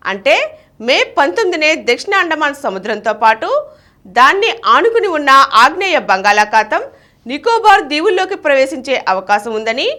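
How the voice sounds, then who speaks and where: A woman reading a scripted news bulletin in a formal, measured announcing manner. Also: sits high, a bit bright. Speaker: news reader.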